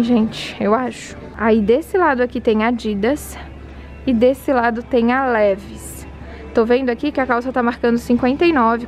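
A woman's voice: on the high side, a little mellow, rough recording. A woman casually vlogging her walk through a large department store, reading out prices and talking straight to her viewers. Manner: chatty, reads out prices, addresses viewers. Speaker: shopping vlogger.

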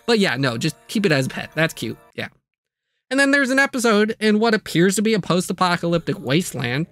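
A man's voice: high-pitched, a bit bright. A man delivering a casual, comedic scripted video review, with jokey asides and playful exaggeration.

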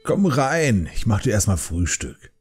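A man's voice: fairly low pitch, sing-song, measured pace, faint music behind. A man voicing a jovial, kindly older panda brewmaster uncle, hearty and easygoing, in an audiobook drama. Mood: cheerful.

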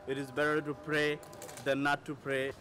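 A man's voice: a little high, monotone, normal speed, noisy background. A man speaking for a mosque, explaining earnestly in a street interview outside its entrance.

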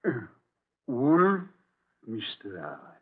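A servile, evasive manservant in a vintage radio play. He talks slowly and haltingly, stammering with nervous deference. The audio is thin and band-limited like an old broadcast. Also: medium pitch, wildly swooping pitch, slightly rough, phone-quality sound, exaggerated voice. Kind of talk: 1940s radio drama acting.

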